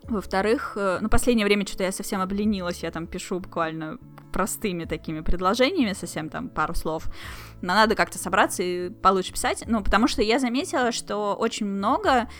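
A woman hosting a casual conversation, chatty and quick to laugh. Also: medium pitch, fairly bright tone, faint music behind.

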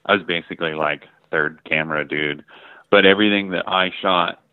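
A low-pitched middle-aged American man from the Midwest tells stories in a loose, filler-heavy way, swearing casually as he goes. His voice comes through a narrow, phone-like line with a dry sound. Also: steady tone, brisk, clean tone, no room sound. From Ohio and Michigan, US. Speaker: guest photographer. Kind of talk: casual interview chat.

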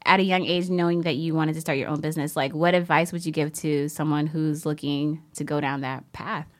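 A woman's voice: sits low, steady tone, fairly quick. A woman hosting a casual podcast, talking in an informal, slangy way. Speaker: podcast host.

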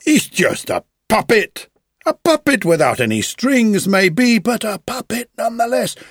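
Man putting on a high, jeering townsperson. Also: big pitch swings, fairly bright tone, husky, no echo, clean studio sound, full character voice. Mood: scornful. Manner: jeering, drawn-out. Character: mocking crowd of scornful townspeople. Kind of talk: audiobook character voice.

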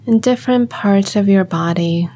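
A woman guiding a body scan meditation, speaking calmly and gently, giving unhurried instructions with long pauses. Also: mid-range voice, a little hiss or hum. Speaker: meditation guide.

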